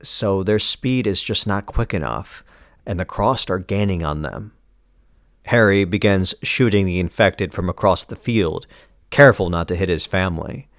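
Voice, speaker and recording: Male storyteller for dark comic recaps: deep register, grave deliberate delivery, dead-dry recording. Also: dry recording. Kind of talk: scripted dramatic narration.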